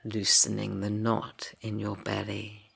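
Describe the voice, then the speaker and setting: Slow, gravelly-voiced soothing man. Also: fairly low pitch, monotone. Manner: slow, soft and soothing, drifting into whispers. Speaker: meditation guide. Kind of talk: guided yoga nidra meditation.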